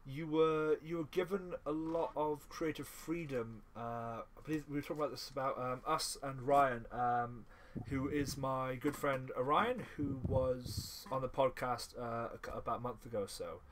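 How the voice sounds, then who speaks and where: A man hosting a podcast in a casual chatty style, speaking haltingly and often repeating his phrases. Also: medium pitch, fairly even intonation, slight background noise. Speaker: podcast host. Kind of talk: casual chat.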